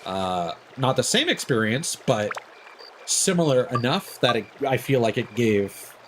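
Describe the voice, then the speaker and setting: Opinionated streamer guy over clear background noise. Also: mid-range voice, very animated, measured pace. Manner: opinionated, rambling. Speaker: live streamer hosting a game stream. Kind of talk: casual livestream chat.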